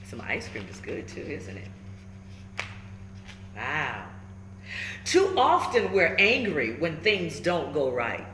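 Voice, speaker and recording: A woman reads a picture book aloud for children with expressive, swooping intonation. She takes her time and checks in with her listeners. The room is echoey, with faint noise behind her. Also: low voice, slow pace, long pauses. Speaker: storybook reader. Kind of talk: animated children's read-aloud with asides to listeners. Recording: roomy echo with faint background noise.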